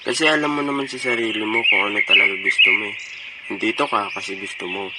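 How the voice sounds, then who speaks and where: A man's voice: on the low side, steady tone, fast, natural voice. A young Filipino man playing a loyal, cheerful college friend in an amateur motion comic. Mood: supportive. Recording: very noisy amateur recording with clicks.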